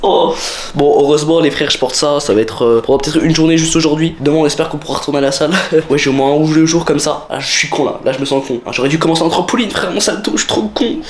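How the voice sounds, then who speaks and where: A man's voice: a little high, fast talker. A teenage man, vlogging casually to viewers in slang, with a stiff neck and a slight cold. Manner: slangy, talks straight to viewers. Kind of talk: casual vlog to camera.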